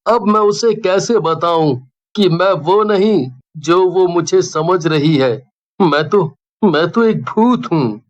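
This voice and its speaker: Man playing a high-voiced, sorrowful, lovestruck ghost. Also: takes it slowly, lo-fi sound. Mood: troubled. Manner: earnest, wistful. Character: sorrowful lovestruck young male ghost, gentle and confessing. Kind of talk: Hindi horror cartoon dubbing.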